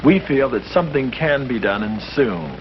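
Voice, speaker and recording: A priest speaking earnestly to a small group, with a lively, preacherly way of stressing his points. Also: medium pitch, moderate pace. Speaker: priest leading a discussion. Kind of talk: earnest scripted speech in a 1951 short film. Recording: muffled old film soundtrack with hiss.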